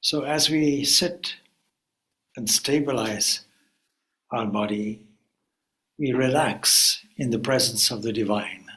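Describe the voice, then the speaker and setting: A man leading a guided meditation as a spiritual teacher, speaking calmly and deliberately in short phrases with pauses. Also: medium pitch, rough recording.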